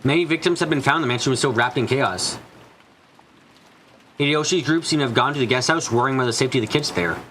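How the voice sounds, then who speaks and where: A man's voice: mid-range voice, quick pace, fairly bright tone, slight background noise, rough recording. A man reading dramatic scripted narration of a story, as in a fan dub of a visual novel. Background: background music.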